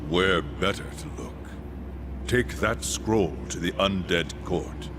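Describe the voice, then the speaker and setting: An actor playing a loyal angel soldier who speaks in a formal, earnest and courteous way in a video game cutscene. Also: male voice, low-pitched, slow, background noise. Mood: solemn. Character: loyal male angel warrior, solemn soldier.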